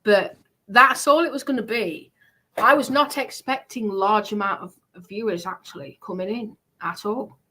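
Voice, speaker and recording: Casual woman with mid-pitched voice. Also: moderate pace, bright, forceful tone, low-quality recording. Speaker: livestream host. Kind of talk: casual chat.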